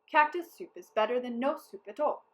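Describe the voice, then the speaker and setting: A confident storybook captain of soldiers played by a woman reading aloud. He is wily and courteous, coaxing with emphatic, persuasive phrasing in a high, lifted voice. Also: expressive, normal speed, a bit bright. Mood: firm.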